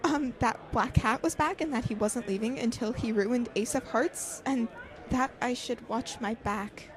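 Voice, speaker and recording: A woman acting a shaken, nervous young woman in a naturalistic audio drama, halting and stammering. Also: a little high, natural voice. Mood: frightened.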